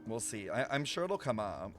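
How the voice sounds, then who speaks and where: Animated young male game streamer. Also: lowish voice, normal speed. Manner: slangy, informal, swearing. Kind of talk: casual game reaction commentary. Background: game music.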